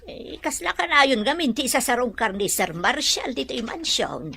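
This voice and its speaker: A woman's voice: sits high, expressive, normal speed, gravelly, bright, forceful tone, husky, heavily acted. A woman acting a respectful, apologetic servant in an Ilocano audio drama.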